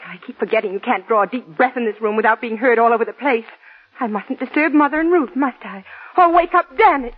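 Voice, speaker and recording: An actress playing a possessive, jealous young wife who can be sweet or sharp, in 1940s radio drama style. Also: female voice, fairly high pitch, fast talker, slightly rough. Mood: cold, jealous. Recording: tinny 1940s radio broadcast recording.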